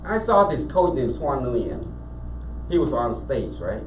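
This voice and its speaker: A man from Cambodia with a Cambodian accent, a business owner telling his story as a guest in a casual interview, animated and full of restarts. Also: medium pitch, a little slow. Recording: echoey room, mic at a distance, faint background noise.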